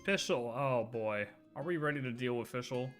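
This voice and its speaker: A man's voice: medium pitch, normal speed. A man giving casual live reaction commentary as a game streamer, joking and echoing lines aloud. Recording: game music behind voice.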